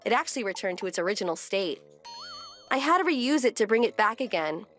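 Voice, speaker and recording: A woman's voice: medium pitch, expressive, fairly quick, a bit bright, soft background music, lightly acted. A woman playing a composed, wry wife who narrates her domestic story in a scripted manga dub. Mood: calm.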